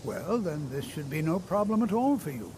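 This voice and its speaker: A man's voice: on the high side, big pitch swings, moderate pace, noisy background. An actor playing a kindly elderly wizard teacher in a video game, patient, cautious and courteous as he instructs. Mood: encouraging, instructive. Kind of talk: video game character dialogue.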